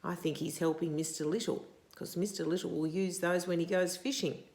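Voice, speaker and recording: A woman in her sixties reads a picture book aloud to young children in an expressive, deliberate way, stopping to put questions to them. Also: lowish voice, vocal fry. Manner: deliberate, animated, asking questions of young listeners. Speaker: storytime host reading a picture book to children. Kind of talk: expressive children's read-aloud with asides to the listener.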